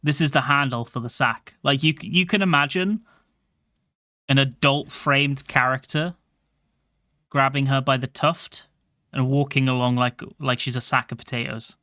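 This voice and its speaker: Soft-voiced man streaming casually. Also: mid-range voice, normal speed, no echo, clean studio sound. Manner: rambling, chatty, swears casually. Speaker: game streamer. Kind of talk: casual livestream chat.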